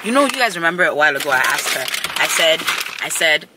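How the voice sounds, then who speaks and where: A woman's voice: low-pitched, fast. A young woman chatting and teasing her friend in a parked car, filmed by a handheld camera. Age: young adult. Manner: animated, teasing, loud laughs, slips into pidgin. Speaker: vlog guest, friend. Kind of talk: casual chat. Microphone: camera held at arm's length. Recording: car with noticeable background hum.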